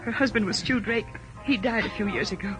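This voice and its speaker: An actress playing an anxious, polite young woman who speaks haltingly and earnestly, in 1940s radio drama style on an old recording. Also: medium pitch, over music, lightly acted. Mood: worried, earnest. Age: young adult.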